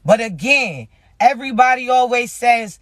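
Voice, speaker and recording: Raspy, loud man with a very high voice and a dry, close sound, delivering a spiritual reading slowly and emphatically. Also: animated, no echo. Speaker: spiritual message reader. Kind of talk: casual spiritual message to viewers.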